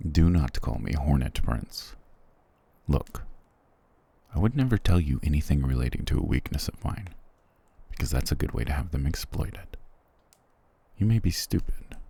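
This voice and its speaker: A man playing a cruel, proud, weary soldier prince in an ASMR fantasy roleplay, curt and condescending, with a gravelly, husky voice. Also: a bass voice, mellow, full character voice. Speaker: voice actor in audio roleplay.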